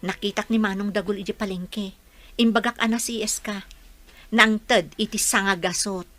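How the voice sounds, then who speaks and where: A woman's voice: mid-range voice, steady tone, moderate pace, fairly bright tone, lightly acted. An actress playing a concerned, frank young woman in a radio drama. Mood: reproachful.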